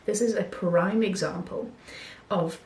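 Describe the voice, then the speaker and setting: A woman's voice: mid-range voice, fairly even intonation, a little slow, a little mellow. Hesitant woman reviewing films, roomy sound. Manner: hesitant, many ums and restarts. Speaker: film vlogger. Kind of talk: casual film review talk.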